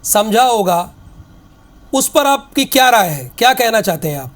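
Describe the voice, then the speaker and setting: A man's voice: high-pitched, sing-song, moderate pace, fairly bright tone. A man hosting a televised political debate, cutting in to put pointed questions to panelists. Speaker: TV debate host.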